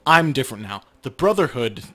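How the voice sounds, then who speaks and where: A man's voice: mid-range voice, very animated, brisk, a bit bright. A man voicing an earnest, zealous man who pleads urgently, reading video game dialogue aloud. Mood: earnest pleading.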